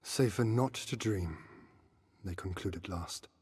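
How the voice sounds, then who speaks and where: Casting: stoic young warrior prince for fantasy game cutscene, husky, archaic measured speech, reverberant hall. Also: male voice, sits low, a little mellow, heavily acted. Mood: somber, resigned. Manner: formal archaic diction, measured, oratorical. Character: young exiled prince of a conquered eastern land, earnest, stoic. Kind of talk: cinematic video game voice acting.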